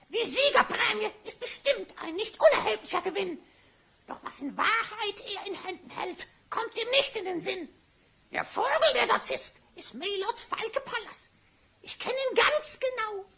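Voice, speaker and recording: A very high-pitched hyena spy from a fantasy radio play. The voice crackles with creak, and every rhymed line is sly, gleeful and theatrically scheming. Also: female voice, lively intonation, measured pace, bright, forceful tone, full character voice. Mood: sly, gloating. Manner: theatrical scheming, frequent cackling, rhyming speech. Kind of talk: German fantasy audio play acting.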